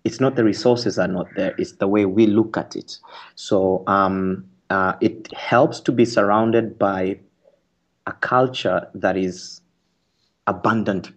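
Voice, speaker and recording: A man's voice: on the low side, steady tone, takes it slowly. A man from Kenya, an author, explaining his ideas as an interview guest in a thoughtful way with fillers and restarts. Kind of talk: radio interview conversation.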